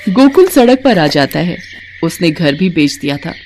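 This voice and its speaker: A woman's voice: fairly low pitch, big pitch swings, measured pace, darkish tone, a little hiss or hum. Woman narrating a scripted story.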